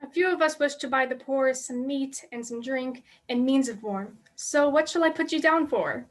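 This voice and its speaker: Woman as high-pitched hesitant charity collector. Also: natural voice. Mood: polite, hesitant. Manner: halting, formal. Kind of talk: amateur dramatic reading.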